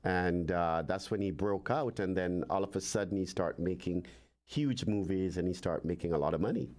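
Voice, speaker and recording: A man's voice: low-pitched, moderate pace, darkish tone. A man in a casual chat who backs up the other speaker with short agreeing replies.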